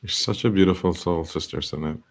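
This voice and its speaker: A man hosting a motivational talk show, speaking earnestly and slowing down to stress quotations. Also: deep voice, a little hiss or hum. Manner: earnest, quotes sayings, slow emphasis.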